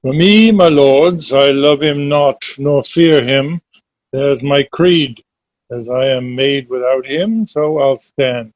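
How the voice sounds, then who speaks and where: A man reading Shakespeare verse drama aloud as an amateur, in a measured, deliberate way over a dull online call. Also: mid-range voice, no room sound.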